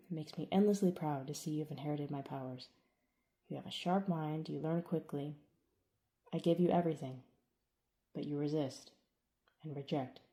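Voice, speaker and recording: A woman's voice: low-pitched, mellow. Farrell, a woman voicing a stern, controlling older man. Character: stern older male alien arena master, cold and controlling. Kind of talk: audiobook character reading.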